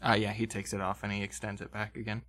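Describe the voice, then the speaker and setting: A man's voice: fairly low pitch, monotone, fast talker, fairly bright tone. A man acting as game master, improvising tabletop game narration and searching for words as he goes. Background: background fantasy game music.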